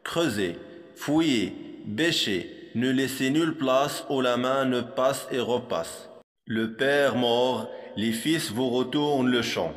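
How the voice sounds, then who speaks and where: A man reciting poetry for a primary school lesson in a measured, didactic manner, with a long hall-like reverb on his voice. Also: medium pitch, faint music behind.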